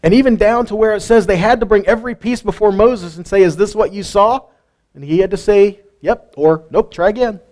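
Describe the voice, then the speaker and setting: A man giving an informal Bible teaching, animated and full of rhetorical questions and light jokes. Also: high-pitched, fairly quick. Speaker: teacher of a weekly Torah portion.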